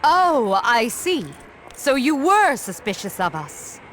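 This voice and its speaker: An actress playing a composed, shrewd warrior woman in a video game, measured and politely probing. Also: sits high, sing-song, a bit bright, a little hiss or hum, exaggerated voice. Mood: knowing, teasing. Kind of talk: dubbed video game cutscene acting.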